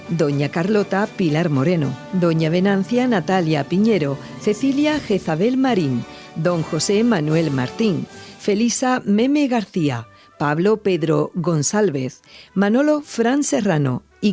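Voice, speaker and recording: A woman's voice: fairly low pitch, warm tone. A woman announcing cast and production credits in a scripted reading.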